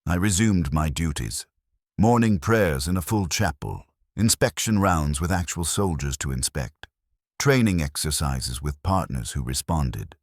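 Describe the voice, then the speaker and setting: A man's voice: very deep voice, lively intonation, measured pace, no echo, clean studio sound. A man reading a scripted first-person dramatic story as narrator, in a detached, solemn, clipped way.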